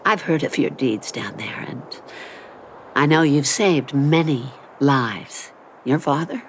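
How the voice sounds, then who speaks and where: A woman playing a tender, dignified elderly mother in a video game, speaking in a soothing, measured way. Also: a bass voice, lively intonation, slight background noise, exaggerated voice. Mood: reassuring, proud. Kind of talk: video game story voice acting. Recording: faint music behind the voice.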